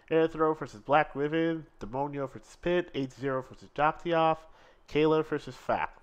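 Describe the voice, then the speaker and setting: A man co-hosting a live fighting-game stream, commentating matches and reading chat aloud, excitable and quick to laugh. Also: a little high, smooth. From Seattle.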